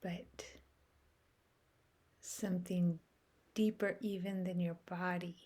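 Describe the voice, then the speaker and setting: A woman leading a guided meditation in a soft, soothing way, with measured phrasing and long pauses. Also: lowish voice, steady tone, slightly rough.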